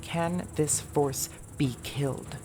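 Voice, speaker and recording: A woman's voice: sits low, slow pace, mellow. Woman acting commanding vizier of poisons over music. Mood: challenging. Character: commanding, defiant female vizier of poisons, a warrior. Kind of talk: fantasy audio drama acting. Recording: music bed behind.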